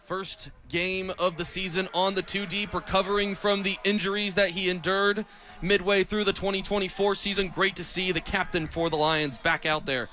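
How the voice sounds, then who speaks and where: Play-by-play announcer: American male, twenties, high and sharp-edged voice, energetic live football radio delivery. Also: normal speed. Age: 20s.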